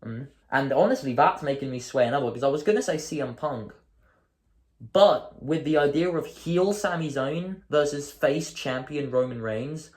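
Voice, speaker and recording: Hoarse man chatting in an echoey room. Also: fairly high pitch, normal speed, a little mellow. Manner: thinking aloud, chatty and self-correcting. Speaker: host. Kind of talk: casual solo commentary.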